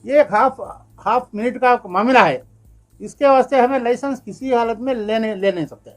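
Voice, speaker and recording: A man giving an unscripted interview statement as a community representative, emphatic and repetitive, with halting phrasing. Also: very high-pitched, soft background music.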